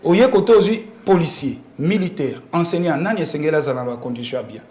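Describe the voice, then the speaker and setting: High-pitched, animated Congolese man, echoey room. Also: fast. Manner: emphatic explaining with rhetorical questions. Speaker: interview guest commenting on politics. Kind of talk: animated political interview talk.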